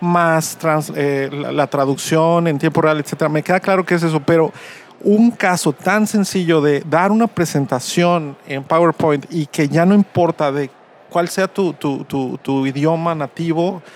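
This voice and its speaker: A man interviewing a guest in a casual tech chat, talking haltingly with many restarts and fillers. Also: on the high side.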